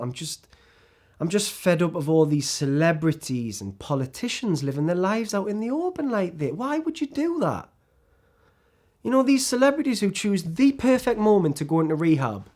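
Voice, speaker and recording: A man's voice: sits high, a little mellow. Man acting a bitter, disillusioned celebrity. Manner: ranting, sardonic, emotionally exposed. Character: disillusioned male celebrity actor, bitter, self-loathing, confessional. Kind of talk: dramatic screen monologue.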